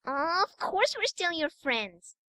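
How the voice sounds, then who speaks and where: A woman's voice: very high-pitched, very animated, slow pace, pushed and bright, exaggerated voice, rough recording. A woman voicing a sweet, eager little unicorn filly, bubbly and earnest, for an audiobook. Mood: cheerful. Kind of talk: fan audiobook character acting.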